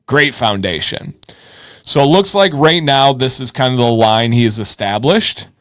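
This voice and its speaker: A man's voice: medium pitch, lively intonation, moderate pace, a bit bright, no room sound. Casual, encouraging man hosting a live show. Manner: encouraging, informal, thinks aloud with repeats. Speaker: host of a live beard advice show. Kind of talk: casual live show chat, reading viewer messages and giving advice.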